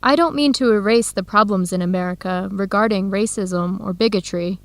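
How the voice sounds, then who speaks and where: A woman playing a warm, curious American researcher in an educational radio drama, speaking in a conversational, inquisitive way. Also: medium pitch, moderate pace, no echo, lightly acted. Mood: thoughtful.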